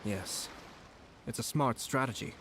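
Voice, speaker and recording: An actor playing a composed, calculating nobleman in a video game, speaking in a measured, formal, analytical way. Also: medium pitch, background noise, lightly acted. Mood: calm, analytical. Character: composed, calculating noble male clan commissioner.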